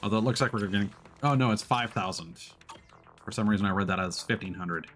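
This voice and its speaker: A man's voice: lowish voice, quick pace, a bit bright, faint music behind. A man livestreaming a video game, chatting casually and commenting as he plays.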